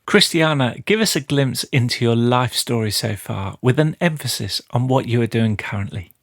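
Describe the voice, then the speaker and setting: A man's voice: mid-range voice, expressive, moderate pace. A man hosting a podcast, asking his guest questions in an upbeat, welcoming way. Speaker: podcast host. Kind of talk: scripted interview questions. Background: guitar music.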